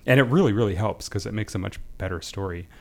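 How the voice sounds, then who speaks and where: A man's voice: fairly low pitch, fast, a little mellow. A man speaking as a guest writer, discussing and reading short fiction. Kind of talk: panel chat and reading own short fiction.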